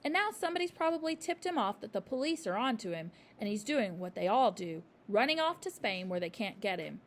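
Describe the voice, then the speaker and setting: A woman doing a soft, kindly schoolteacher. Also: medium pitch, fairly quick. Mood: explaining. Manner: soft, calm, tender. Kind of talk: storybook reading.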